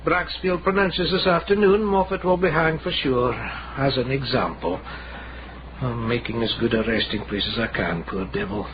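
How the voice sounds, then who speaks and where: A dull, faintly noisy radio drama recording of an actor as a Scottish coffin maker. He is plain-spoken and grim, a period tradesman with a mid-pitched voice. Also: moderate pace, close to an everyday voice. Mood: sombre, matter-of-fact.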